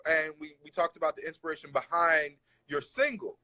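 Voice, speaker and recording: An upbeat man hosts a relaxed interview, asking questions in casual slang. His voice is bright and sits fairly high for a man, and the recording is dry and close. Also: a little fast, no room sound, poor audio. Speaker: host, interviewer.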